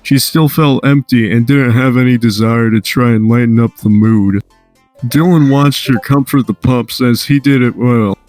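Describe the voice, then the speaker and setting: A man's voice: mid-range voice, steady tone, moderate pace, a little mellow, no echo, faint music behind. A man casually reading a story aloud, breaking off now and then to chat and swear. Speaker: amateur fan fiction reader.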